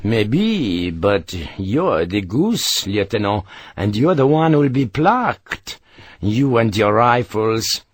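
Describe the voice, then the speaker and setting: Sly French colonel, slow and mocking, played by a male narrator. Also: fairly low pitch, very animated, no room sound, studio quality, exaggerated voice. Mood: smug. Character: smug, mocking French cavalry colonel, man.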